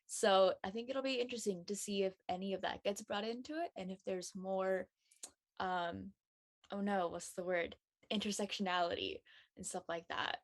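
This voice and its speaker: A woman's voice: mid-range voice, steady tone, normal speed. A woman speaking casually as a cast member in a panel discussion.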